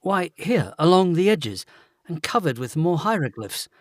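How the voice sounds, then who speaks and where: A man playing a curious, courteous young gentleman in a gothic audio drama. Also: on the high side, big pitch swings, a little slow, no echo, studio quality.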